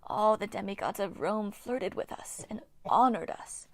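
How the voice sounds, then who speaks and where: Woman voicing a menacing ancient nymph, whispered, slow, hissing, storybook reading. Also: mid-range voice, dry recording, natural voice. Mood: reminiscing. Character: bitter ancient female water nymph, hungry and menacing.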